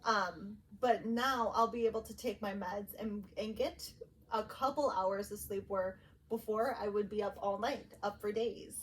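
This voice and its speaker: A woman's voice: mid-range voice, unhurried, far from the mic, low-quality recording. A woman in her thirties co-hosting a podcast, sharing personal stories in a candid, conversational way. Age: 30s. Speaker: podcast co-host. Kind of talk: casual personal storytelling. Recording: faint steady background noise.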